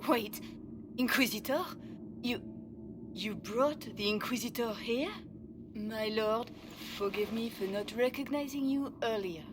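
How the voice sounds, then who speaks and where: A woman acts a meek, reverent sister in a fantasy game. Her highish voice comes slowly and hesitantly, with noticeable ambient noise around it. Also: natural voice. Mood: flustered. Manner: hesitant, deferential. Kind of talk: video game cutscene acting. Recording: noisy ambience behind the voice.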